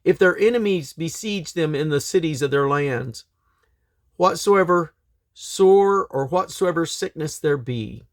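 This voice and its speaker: A man reads aloud from the King James Bible in a solemn, measured, declamatory way, phrase by phrase. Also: on the high side, animated, dry recording, studio quality. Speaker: scripture reader and host.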